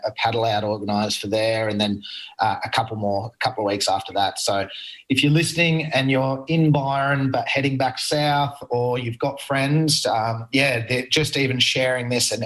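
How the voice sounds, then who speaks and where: An Australian man speaks in a radio interview about a campaign, halting and repeating words as he sorts out his thoughts. His voice sits at an ordinary mid pitch. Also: a bit bright, low-quality recording. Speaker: surf campaign guest.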